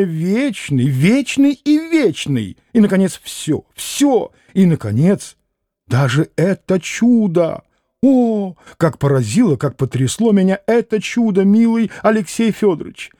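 A fussy, excitable noblewoman of middle years, put on by a male reader, her voice climbing high and swooping wildly through gushing, theatrical exclamations. The tone stays dark and mellow underneath, read from a close, dry booth for a storybook audiobook. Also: no room sound. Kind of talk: audiobook reading of a classic novel.

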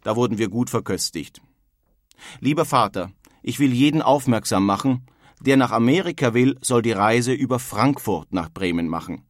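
A man's voice: medium pitch, animated, normal speed, dry recording, studio quality, close to an everyday voice. An actor playing a plain-spoken German emigrant who earnestly reads his old-fashioned letter home in a radio feature. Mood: earnest recounting. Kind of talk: dramatised reading of historical letters.

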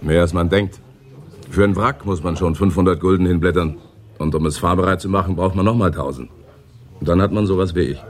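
Man acting as proud biker mechanic, very low voice, unhurried, matter-of-fact, radio play. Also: close to an everyday voice. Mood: laconic, proud. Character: laconic proud biker, male car mechanic. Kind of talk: German radio crime drama acting.

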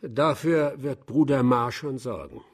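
An actor in a German radio play playing a practical, inquisitive aide to a magistrate, speaking in a questioning, conversational way. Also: male voice, medium pitch, animated, a little slow. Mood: wry, practical.

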